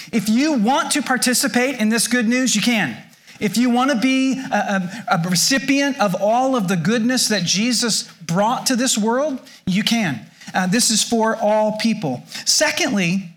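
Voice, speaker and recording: A man with a high voice preaches a sermon to his congregation. He explains scripture thoughtfully, often restarting and repeating words as he goes. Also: fairly bright tone. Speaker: pastor.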